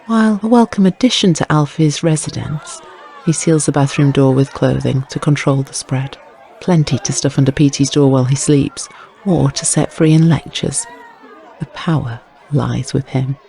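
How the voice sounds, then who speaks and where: A man's voice: fairly high pitch, steady tone, moderate pace, gravelly, dark tone, a faint crowd. A man narrating scripted crime fiction as an audiobook, with wry, literary storytelling.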